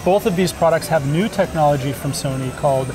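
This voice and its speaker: A man's voice: a little high, brisk. A man explaining a product informally and agreeably as a company representative at a trade show booth. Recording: music playing in the background. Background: music.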